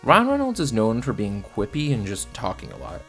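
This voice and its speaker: A man's voice: lowish voice, huge pitch swings, moderate pace, a bit bright, soft background music. A man giving scripted film review commentary in a blunt, sardonic manner. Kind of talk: scripted video essay commentary.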